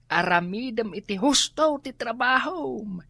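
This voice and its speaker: An actress playing a teasing office coworker in a radio comedy drama. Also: female voice, fairly high pitch, animated, measured pace, pushed and bright, husky, no echo, heavily acted. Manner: teasing, lecturing.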